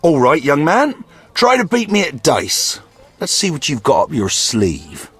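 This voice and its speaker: An actor playing a crusty, wily old man in a video game, chatty and grumbling with a raspy voice. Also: a little high, huge pitch swings, a little slow, a bit bright, full character voice. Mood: wily, amused. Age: older.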